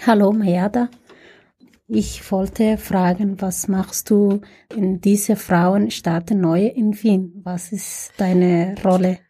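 Slow, husky woman, distant from the mic. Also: mid-range voice, fairly even intonation, warm tone. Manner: slow, simple questions. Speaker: radio project member.

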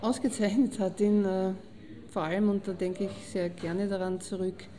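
A woman playing a middle-aged, loyal friend who reminisces in measured, formal words in an audio drama. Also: mid-range voice, fairly even intonation, warm tone, lightly acted. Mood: appreciative.

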